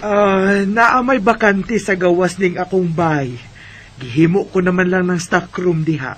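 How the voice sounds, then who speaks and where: An actress with a Visayan Filipino accent playing a kind, generous older single woman in a radio drama, gentle and reassuring. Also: fairly low pitch, a little slow, soft background music, close to an everyday voice. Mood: kindly, reassuring.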